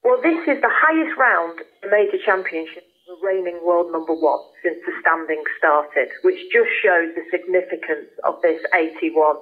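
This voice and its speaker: A woman's voice: fairly low pitch, moderate pace. A woman giving conversational expert golf commentary as a guest broadcaster, over a narrow, muffled radio link. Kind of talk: conversational sports punditry.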